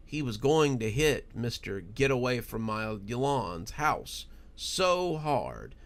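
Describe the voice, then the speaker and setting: A man narrating a horror story in a dramatic, expressive and deliberate storytelling style. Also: medium pitch, vocal fry.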